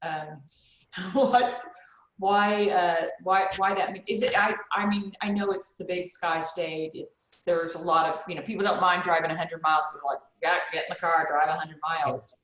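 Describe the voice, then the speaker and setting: A woman's voice: lowish voice, fairly even intonation, moderate pace, a bit bright. A woman moderating a panel conversation, asking chatty, rambling questions full of fillers. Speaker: moderator, bookshop owner. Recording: roomy echo.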